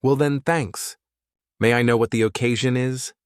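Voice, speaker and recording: A man voicing an earnest, excitable, soft-hearted husband and father in a comic dub. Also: medium pitch, moderate pace, smooth, no echo, clean studio sound, close to an everyday voice. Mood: puzzled.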